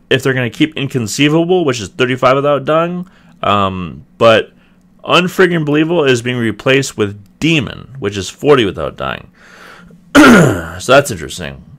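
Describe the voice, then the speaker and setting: A young man with a slightly raspy voice, casually reviewing a video game and hedging often. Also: mid-range voice, a bit bright. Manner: rambling, hedging, many ums and restarts. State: clearing throat, voice getting raspy. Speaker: gaming video host. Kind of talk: casual gameplay review commentary.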